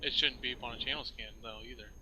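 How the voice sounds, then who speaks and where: A man playing in a role-play game, talking casually with a clear, crisp voice. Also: mid-range voice, steady tone, quick pace, pushed and bright, slight background noise, low-quality recording.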